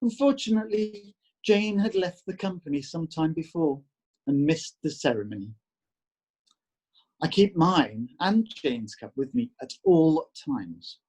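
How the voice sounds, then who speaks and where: A man acting out a sly, husky, slow-talking schemer. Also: a little high, big pitch swings, a little mellow, heavily acted, poor audio. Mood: sly, dry. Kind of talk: dramatic monologue reading.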